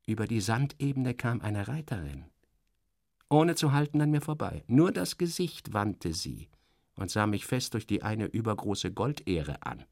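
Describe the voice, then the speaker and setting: A man's voice: lowish voice, big pitch swings, measured pace, vocal fry, darkish tone, exaggerated voice. An actor playing a sardonic, weary male journalist who narrates his own journey in a radio play, theatrically shifting between muttering and exclaiming. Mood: musing, wistful. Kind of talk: radio play first-person narration with acted dialogue.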